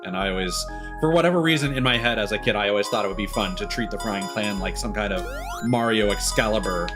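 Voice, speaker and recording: A man giving casual live game commentary, rambling and thinking aloud with halting, mid-sentence pauses. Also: lowish voice. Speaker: game streamer. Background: video game music.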